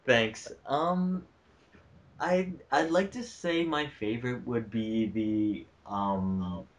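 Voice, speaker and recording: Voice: medium pitch, slow. A fandub cast member chatting casually in a group commentary. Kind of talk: casual chat.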